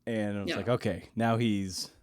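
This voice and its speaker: A man hosting a podcast interview in a casual, halting way, often repeating words as he forms his thoughts. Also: fairly low pitch, steady tone, no echo, studio quality. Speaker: podcast host and writer.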